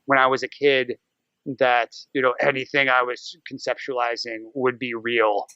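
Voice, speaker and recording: A man's voice: mid-range voice, level tone, moderate pace, pushed and bright, clean tone, no echo, lo-fi sound. A man speaking casually as a podcast guest, telling stories in a rambling, jokey way. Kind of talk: casual conversational interview.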